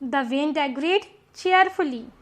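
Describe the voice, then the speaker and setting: A woman reading a scripted children's story as a storyteller and teacher, slowly and deliberately. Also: high voice, animated, a bit bright.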